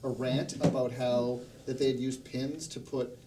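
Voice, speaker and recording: A man's voice: medium pitch, little pitch movement, a little slow, heavy background noise. A man chatting casually as a guest in a group conversation on a stream. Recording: distant mic, busy crowd chatter.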